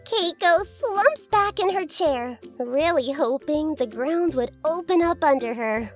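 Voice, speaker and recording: A woman's voice: high voice, animated, measured pace. A woman playing a teasing, mock-pitying narrator of an anime comedy in an arch, theatrical way, with music behind her. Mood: wry, teasing.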